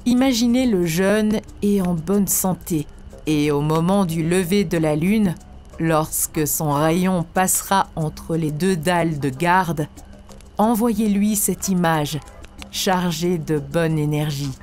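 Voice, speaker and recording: An actress plays a wise woman teacher in an animated spiritual tale. Her voice sits a little low and she explains things in a measured, earnest way. Quiet music plays behind her. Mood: solemn, instructive. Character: wise female spiritual teacher, serene and earnest, instructing disciples. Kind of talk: scripted didactic cartoon dialogue.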